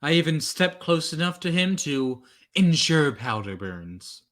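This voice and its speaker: A cool professional killer in an old-time radio thriller, acted by a man, speaking in a fairly high male voice at a very slow pace. He is formal and measured, understating every threat with careful politeness. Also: very animated, a bit bright. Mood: dryly proud.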